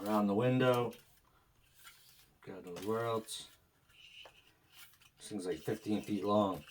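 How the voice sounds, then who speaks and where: A man giving a casual, unscripted demonstration as a hobbyist, thinking aloud in a halting, uncertain way. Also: fairly low pitch. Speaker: hobbyist vlogger. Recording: noisy with clicks and radio. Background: radio noise and clicks.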